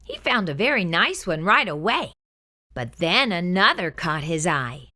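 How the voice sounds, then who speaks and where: A woman hosting a children's storytime, reading a picture book aloud in an expressive, sing-song way. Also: fairly low pitch, unhurried.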